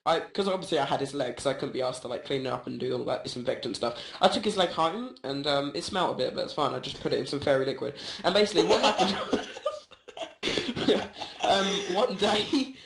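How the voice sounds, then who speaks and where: A man's voice: on the high side, lively intonation, fairly quick, vocal fry, a bit bright, a little hiss or hum. A young man telling a rambling, absurd comedy story full of fillers and giggles. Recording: echoey room.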